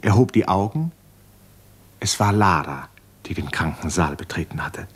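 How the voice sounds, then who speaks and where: Male narrator for 1950s literary radio play, low register, serious and measured storytelling. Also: expressive, husky.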